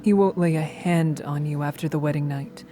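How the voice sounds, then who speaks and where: A woman playing a commanding, tender yet scheming goddess queen in a dramatic audio drama, speaking in a measured, persuasive way. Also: sits low, fairly even intonation, warm tone, soft background music, natural voice. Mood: coolly reassuring.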